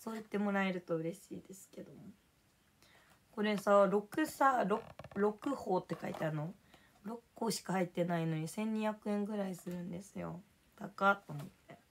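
Hoarse young woman chatting on a livestream. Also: mid-range voice, moderate pace. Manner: chatty, drifts between topics and reading out viewer names. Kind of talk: casual live stream chat, thanking viewers for gifts.

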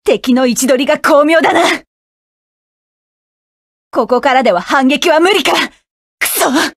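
A proud, sharp-tongued woman mecha pilot snaps curt battle orders in a high voice. She takes her time over each short game line, and the sound is close and dry. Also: a little slow, dry recording. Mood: fierce, commanding. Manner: curt, commanding barks. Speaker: game character. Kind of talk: video game battle voice lines.

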